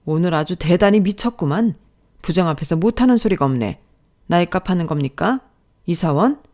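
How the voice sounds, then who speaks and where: A woman's voice: lowish voice, animated, normal speed, darkish tone, close to an everyday voice. A woman voicing a harsh office manager who insults and berates his staff, with a scolding, sneering manner. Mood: contemptuous scolding.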